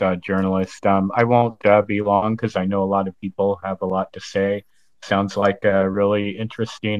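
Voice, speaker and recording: A man speaking as a panelist in a thoughtful, measured and somewhat hesitant way, giving reflective casual commentary. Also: fairly low pitch, a bit bright, no room sound, dull audio.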